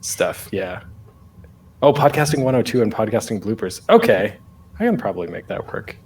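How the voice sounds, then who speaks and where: Low-pitched man, rambling casual panelist. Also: very animated, moderate pace, darkish tone, slight background noise. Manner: rambling, self-deprecating, jokey. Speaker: podcaster, panel presenter. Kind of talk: casual unscripted online panel talk.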